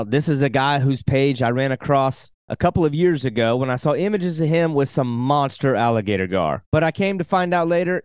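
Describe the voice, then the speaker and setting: A man's voice: mid-range voice, dry recording, studio quality. A man hosting a fishing podcast, reading an eager intro and then chatting casually with his guest. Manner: enthusiastic, conversational. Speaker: podcast host. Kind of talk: scripted intro, then casual interview chat.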